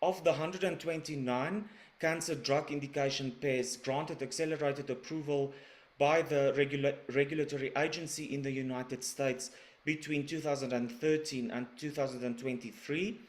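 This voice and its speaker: A man from South Africa, a radiation oncologist, reading a prepared webinar presentation in a measured, formal way. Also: on the high side, fairly even intonation. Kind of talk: scripted presentation. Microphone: webinar recording.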